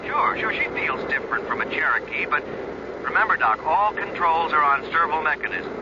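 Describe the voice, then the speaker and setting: An actor in a radio drama playing a calm colonel who coaxes a novice pilot along in a reassuring, halting, instructive way. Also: male voice, fairly high pitch, very noisy, lightly acted. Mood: coaxing. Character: calm, coaxing air force colonel guiding a novice pilot. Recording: muffled radio recording, aircraft drone behind.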